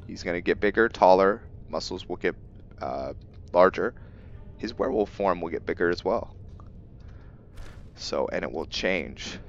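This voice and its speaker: A man giving casual gameplay commentary on his roleplay playthrough, musing aloud in a halting way. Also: low-pitched, a bit bright. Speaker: gamer and streamer narrating his roleplay playthrough. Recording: muffled audio with faint background noise.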